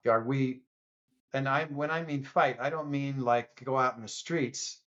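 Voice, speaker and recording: A man appearing as a podcast guest, speaking earnestly and haltingly, often restarting in a casual political debate. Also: medium pitch, fairly bright tone, highs cut off.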